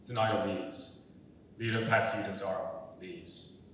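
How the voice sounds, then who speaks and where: A young man with a fairly low voice reads stage directions as scripted narration. He sounds distant in a very echoey room, over faint rumbling noise. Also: steady tone, takes it slowly, fairly bright tone. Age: young adult. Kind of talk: scripted narration at a dramatic reading.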